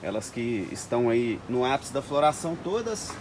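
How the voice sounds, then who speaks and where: A man's voice: medium pitch, big pitch swings, moderate pace, a little mellow, a little hiss or hum, rough recording. A man, an orchid grower talking casually to the camera inside a greenhouse and often turning to address his viewers. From Brazil. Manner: chatty, keeps addressing viewers as "gente". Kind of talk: casual talk to camera with growing tips. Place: indoors in a greenhouse full of orchids.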